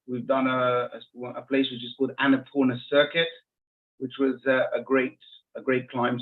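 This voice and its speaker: A man from Israel, a rabbi and interview guest, telling stories conversationally, often repeating and rephrasing as he explains. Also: mid-range voice. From Israel, born and raised in Jerusalem. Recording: muffled phone-like narrowband audio.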